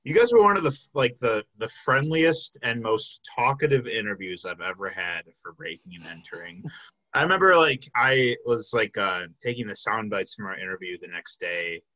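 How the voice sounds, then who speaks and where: A man's voice: lowish voice, lively intonation, moderate pace, pushed and bright, dry recording, poor audio. A man in his twenties from the Chicago suburbs, hosting a casual interview with a band and rambling through lots of fillers. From south suburbs of Chicago. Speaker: podcast host.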